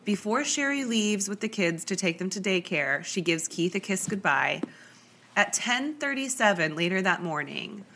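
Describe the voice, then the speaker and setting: A woman's voice: medium pitch, expressive, moderate pace, bright, forceful tone, slight background noise. Congested woman hosting a podcast. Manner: chatty, lots of likes and ums. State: congested with a cold. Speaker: podcast host. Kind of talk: true crime podcast storytelling.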